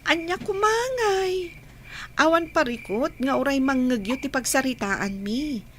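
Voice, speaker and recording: A woman's voice: sits high, lively intonation, measured pace, fairly bright tone, a little hiss or hum. A woman with an Ilocano Filipino accent playing an earnest young woman in love in a radio drama. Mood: mildly reproachful.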